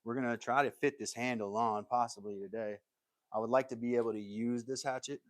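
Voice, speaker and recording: A man's voice: mid-range voice, steady tone, fairly quick, no echo, clean studio sound. Easygoing man chatting on a livestream. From United States. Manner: easygoing, rambling, reads out viewer comments. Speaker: livestream host, woodworker. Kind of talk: casual livestream chat. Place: his workshop.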